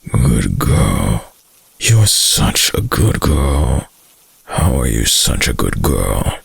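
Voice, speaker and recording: A man playing a possessive, doting young wolf-boy lover in an ASMR boyfriend roleplay, murmuring intimately with adoring dominance in a deep, breathy, gravelly voice. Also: very animated, drawn-out words, no room sound, heavily acted. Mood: possessive cooing.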